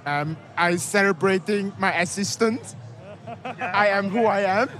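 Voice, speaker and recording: A man from Thailand, in English, speaking softly and simply outdoors. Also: high-pitched, a little slow. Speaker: podcast guest. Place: outdoor street festival.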